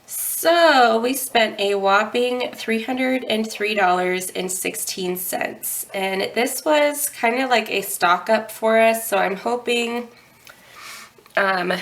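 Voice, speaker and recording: A young woman walks through her budget with a stuffy, nasal head-cold voice. She talks slowly and unhurriedly, with a gravelly edge. Ums and throat clearing come often. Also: mid-range voice, a bit bright. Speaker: budgeting channel host. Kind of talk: casual budget walkthrough.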